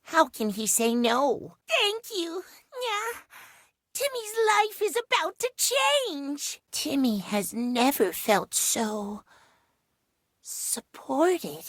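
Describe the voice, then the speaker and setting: An actor voicing a cute, excitable, dramatic little video game character who gushes about himself in the third person. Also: male voice, very high-pitched, drawn-out words, dry recording, studio quality, exaggerated voice. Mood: delighted. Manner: refers to himself in third person, gushing, theatrical. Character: cutesy, excitable, dramatic household-object creature speaking of himself.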